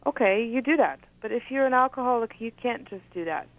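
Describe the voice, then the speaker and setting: Polish-born woman with a gravelly voice. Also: on the high side, expressive, fairly quick. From Poland. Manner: thoughtful, hesitant with frequent ums. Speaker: author, guest. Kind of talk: reading from her own book and chatting. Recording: phone-like radio audio.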